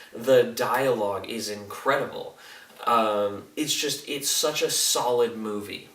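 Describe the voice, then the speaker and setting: A man's voice: medium pitch, fairly bright tone. Man in an echoey room, casual and enthusiastic. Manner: enthusiastic, emphatic, informal slang. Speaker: video movie reviewer and host. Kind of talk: casual unscripted review to camera. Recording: roomy echo, distant microphone.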